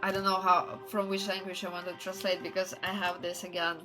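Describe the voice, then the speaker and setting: A woman livestreamer with a non-native English accent, chatting casually and haltingly as she searches for words. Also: lowish voice, level tone, over music, low-quality recording. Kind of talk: casual chat stream.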